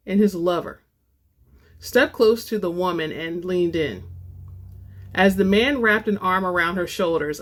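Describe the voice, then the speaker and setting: A woman hosting a dramatic book reading, moving between informal, expressive chat and narration. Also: fairly low pitch. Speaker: host and reader of book samples.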